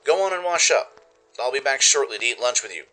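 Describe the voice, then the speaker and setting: A man voicing a loving pony stallion father, a mechanic, gentle and reassuring, in a radio play. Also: expressive, a little fast, faint music behind. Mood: tender. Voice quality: deep, gentle. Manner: soft, reassuring, affectionate.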